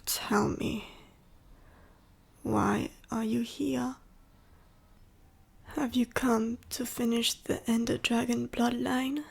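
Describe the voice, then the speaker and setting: Breathy, dying female dragon, acted by a woman. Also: a little high, fairly even intonation, exaggerated voice. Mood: weary, wary. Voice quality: breathy, whispery, husky. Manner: slow, formal, archaic phrasing with heavy pauses. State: weak, short of breath. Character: dying female dragon, last of her bloodline, sorrowful, gentle. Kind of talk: ASMR roleplay.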